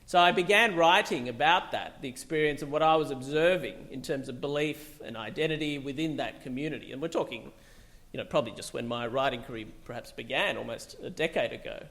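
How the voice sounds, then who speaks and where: Gravelly man speaking loosely. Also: medium pitch, fairly quick, fairly bright tone. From Bangladesh. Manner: loose, conversational, many fillers and restarts. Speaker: psychiatrist giving a talk. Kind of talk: public talk.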